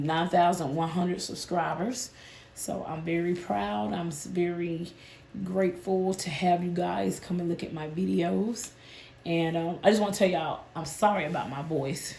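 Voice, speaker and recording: A woman, hoarse and a bit nasal from a sinus illness, chatting casually with her viewers about her budget. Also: fairly low pitch, darkish tone, roomy sound, a little hiss or hum. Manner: chatty, addresses viewers as "y'all". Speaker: budgeting channel host.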